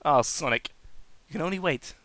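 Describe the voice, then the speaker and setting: Man putting on a soft, coy cartoon nurse. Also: on the high side, expressive, normal speed, no room sound, voice pushed down. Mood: apologetic.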